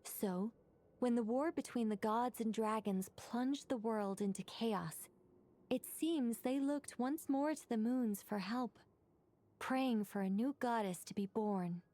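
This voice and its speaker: A woman playing a composed, learned guide in a video game, explaining politely and in measured phrases. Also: fairly high pitch, mellow, lightly acted. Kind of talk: video game character acting. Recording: background music.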